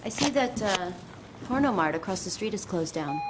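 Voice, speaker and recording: A woman's voice: medium pitch, expressive, moderate pace, very noisy, natural voice. A woman voicing an ordinary woman who asks puzzled questions in plain conversational speech. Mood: questioning. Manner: conversational, increasingly bewildered. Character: puzzled young woman shopper and office worker. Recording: crowd murmur behind.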